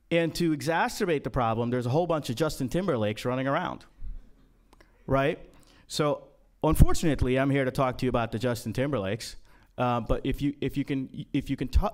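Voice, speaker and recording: A man from Guyana giving a casual, joking talk to a conference room, with many ums and uhs. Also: medium pitch. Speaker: conference speaker.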